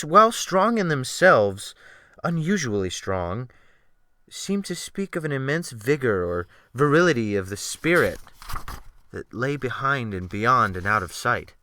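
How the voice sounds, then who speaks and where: Male narrator, dramatic audiobook reading, expressive literary phrasing, bright crisp tone, very dry close-mic sound. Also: medium pitch, no room sound. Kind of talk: dramatic scripted narration of a classic novel. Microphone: close USB desktop microphone.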